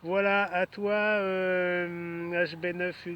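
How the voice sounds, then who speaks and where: A man's voice: high-pitched, level tone, extremely slow, lo-fi sound, slight background noise. A man chatting casually as an amateur radio operator, in short phrases peppered with tag words. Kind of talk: casual ham radio chat. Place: outdoors in a wooded park.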